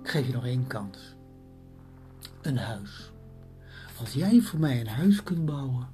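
A woman voicing a demanding bird princess in a spoken story, talking in a condescending, drawn-out manner. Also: very deep voice, big pitch swings, warm tone, soft background music, heavily acted. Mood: commanding.

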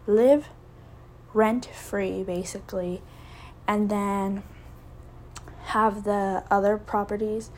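A young woman in her twenties talks slowly and reflectively in a casual podcast, often restarting her thoughts. Her voice is mellow and soft-edged. A faint hiss sits under the recording. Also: medium pitch. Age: 20s. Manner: rambling, reflective, many fillers and restarts. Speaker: podcast host. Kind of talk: casual solo podcast talk.

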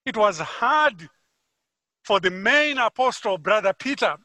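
A man from Zimbabwe with a Zimbabwean accent, preaching a sermon as a guest in a church, with measured, deliberate delivery that rises for emphasis. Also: high-pitched, expressive, sharp tone, no room sound.

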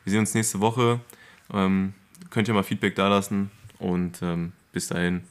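A man's voice: low voice, steady tone, moderate pace, smooth. A young man hosting a podcast in casual, slangy, colloquial chat among friends. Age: young adult. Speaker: podcast host.

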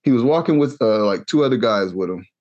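A young adult man from Kansas telling a long, animated story in a casual podcast chat, acting out the voices he quotes. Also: mid-range voice, quick pace, lo-fi sound. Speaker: podcast co-host.

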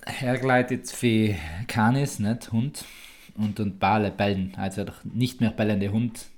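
A man's voice: lowish voice, steady tone, a little slow. A man co-hosting a podcast in playful, colloquial, casual banter. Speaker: podcast co-host.

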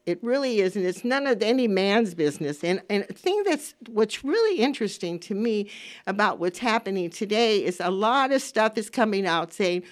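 An older woman in her seventies speaks as a radio host in a studio, with an average pitch for a woman. Her delivery is conversational and opinionated. She drives points home emphatically, often saying a phrase again for stress. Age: 70s. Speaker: radio talk show host. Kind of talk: casual opinionated radio monologue.

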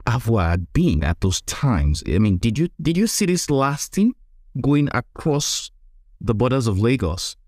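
A man with a Nigerian accent hosting a podcast interview, putting reflective, measured questions and observations to his guest. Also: medium pitch, very animated, a little mellow, no echo, clean studio sound. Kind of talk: conversational interview.

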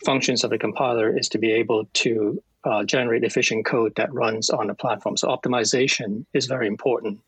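Husky-voiced man. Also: medium pitch, steady tone, fairly quick, few pauses, no room sound, low-quality recording. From Hong Kong. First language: Cantonese. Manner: plain explaining, with frequent fillers. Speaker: compiler engineer. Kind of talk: casual interview answers.

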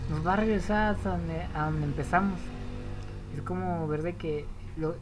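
A woman's voice: low voice, a little mellow, slight background noise. A woman with a Mexican accent explaining diet habits in a casual, rambling way, often restarting and correcting herself.

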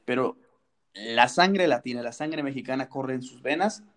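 Man, mid pitch, casual banter, playful and teasing. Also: moderate pace, a bit bright. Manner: playful, rhetorical questions, teasing. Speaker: wrestling podcast co-host. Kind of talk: casual chat.

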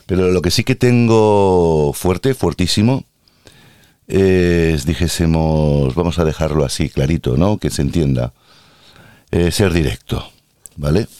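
Low-voiced Andalusian man ranting sarcastically. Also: measured pace, mellow, no room sound, clean studio sound. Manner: ranting, sarcastic, playfully theatrical. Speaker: podcast host and healthcare worker. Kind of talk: casual podcast monologue.

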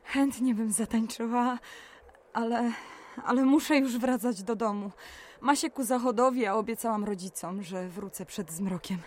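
Woman acting a coy, high-voiced village maiden. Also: moderate pace, a little mellow, close to an everyday voice. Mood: coy, demure. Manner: flirtatious, playful. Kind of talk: Polish radio play acting.

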